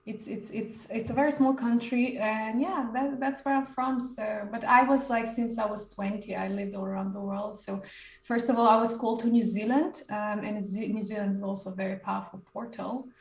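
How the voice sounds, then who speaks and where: A woman's voice: a little high, steady tone, normal speed, a little mellow, roomy sound, distant mic, rough recording. A woman from Slovenia, a podcast guest talking casually about spiritual topics, often hesitating and restarting. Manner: hesitant, many fillers and restarts.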